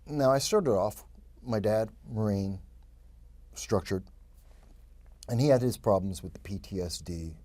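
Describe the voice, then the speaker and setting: A man in his fifties from New York, a guest telling his life story in a lively, rambling way full of quick asides. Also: on the low side, no room sound. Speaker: interview guest. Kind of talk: casual interview storytelling.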